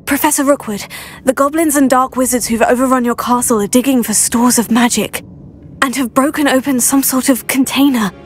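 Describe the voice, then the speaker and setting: A woman's voice: high-pitched, steady tone, brisk, natural voice. Earnest young witch played by a woman. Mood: determined. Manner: polite, determined. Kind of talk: video game character acting.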